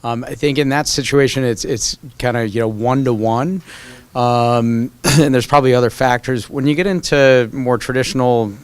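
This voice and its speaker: A man's voice: medium pitch, moderate pace, clear, resonant tone, no echo. A man in the audience asking a long, rambling question full of "um"s and "you know"s.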